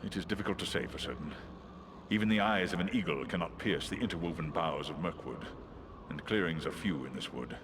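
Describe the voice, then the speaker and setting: An actor voicing a wise, solemn great eagle in a fantasy game, with a measured, archaic way of speaking. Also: male voice, low-pitched. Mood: pondering. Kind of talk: fantasy video game cutscene acting. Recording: clear background ambience noise.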